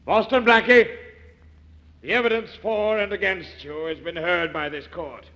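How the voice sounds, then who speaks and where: A solemn courtroom judge in 1940s radio style, formal and declamatory. The voice is high and forceful, ringing with long reverb. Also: male voice, fairly even intonation, normal speed. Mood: stern, solemn. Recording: hollow reverberant old radio recording, dull.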